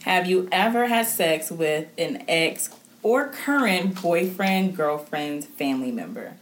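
A young woman hosting a podcast, animated and frank, swearing casually and laughing a lot. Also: lowish voice, slow pace, slight background noise. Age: young adult. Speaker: podcast host. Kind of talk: casual chat.

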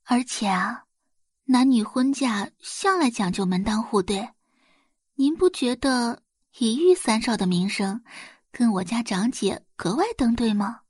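Audiobook role acted by a woman: smug young society lady, soft-spoken, sweet on top, venom underneath, slightly husky. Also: fairly high pitch, animated, unhurried, no room sound, studio quality. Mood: smiling provocation. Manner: gentle polite tone laced with condescension. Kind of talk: audiobook drama character acting.

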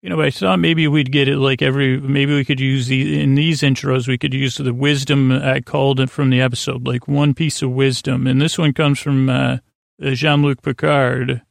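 A man delivers a meandering bedtime monologue as a podcast host. His voice is middling in pitch and full of creak, recorded very dry. He stammers and restarts often, drifting from one half-finished thought to the next. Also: little pitch movement, no echo. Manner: halting, stammering, meandering with repeated false starts.

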